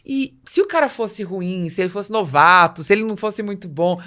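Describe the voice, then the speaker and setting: A woman's voice: medium pitch, expressive, moderate pace, a crowd in the background. A Brazilian woman hosting a business podcast, explaining points with examples and rhetorical questions. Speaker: podcast host.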